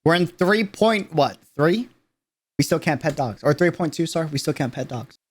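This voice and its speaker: A man's voice: fairly high pitch, animated, normal speed. A man giving a casual livestream reaction in an emphatic, slangy way, repeating phrases to drive them home. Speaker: streamer reacting to a game character trailer.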